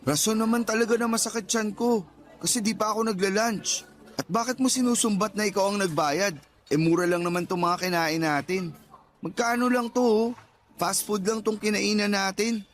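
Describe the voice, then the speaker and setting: An actor playing a defensive, teasing, flippant young boyfriend with a Filipino accent in a radio drama, whining out excuses. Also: male voice, sits high, moderate pace, natural voice. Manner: whiny, flippant excuse-making. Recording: background music under the voice.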